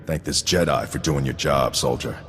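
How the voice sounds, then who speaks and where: A man's voice: a bass voice, moderate pace, fairly bright tone. An actor playing a stern, unflappable army sergeant in a video game, clipped and cold in his military delivery. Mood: stern, commanding. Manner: clipped military delivery, reciting figures coldly. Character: stern, unflappable male army sergeant who demands discipline. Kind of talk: video game cutscene acting. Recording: echoey hall, noisy ambience behind.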